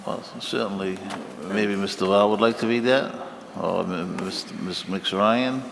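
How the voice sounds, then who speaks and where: A man chairing a school committee meeting, moving through the agenda in a brisk, procedural way. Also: lowish voice, fairly even intonation, gravelly. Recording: echoey hall with faint noise.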